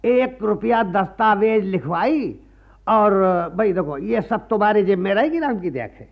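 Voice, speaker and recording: An actor playing a greedy, wily priest and moneylender in a radio play, sanctimonious and wheedling. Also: male voice, high-pitched, expressive, measured pace, creaky, heavily acted. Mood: slyly calculating. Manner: sanctimonious, wheedling, repeats a pious catchphrase. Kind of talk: Hindi radio play acting. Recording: muffled archival radio play recording.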